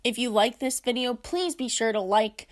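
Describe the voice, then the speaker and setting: A woman's voice: fairly high pitch, expressive, moderate pace. A woman presenting a video essay in scripted, explanatory narration.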